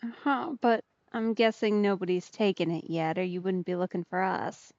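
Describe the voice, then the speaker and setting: A woman's voice: medium pitch, fairly even intonation, normal speed, a little mellow, dry recording, lo-fi sound. A woman giving casual, chatty let's play commentary, reacting aloud as a game streamer.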